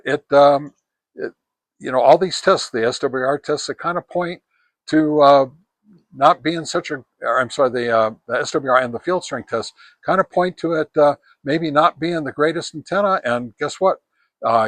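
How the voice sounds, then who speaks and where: A man presenting an informal, unscripted product review, speaking haltingly with frequent pauses in mid-sentence. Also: medium pitch, a bit bright, dry recording. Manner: halting, pausing often mid-sentence, clears throat. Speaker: reviewer, presenter of radio antenna tests.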